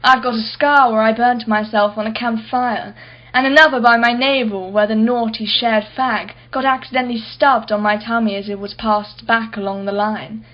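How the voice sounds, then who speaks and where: Actress doing posh-minded rich lady in a radio play, arch n sardonic, highish voice, bit muffled recording. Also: fairly even intonation, normal speed, natural voice. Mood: nostalgic, proud. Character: haughty, wealthy, privileged female art collector, witty and defensive.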